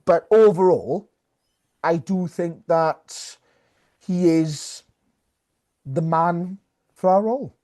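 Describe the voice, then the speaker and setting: A man's voice: fairly high pitch, slow, long pauses, mellow. A man co-hosting a sports podcast, chatting casually about rugby.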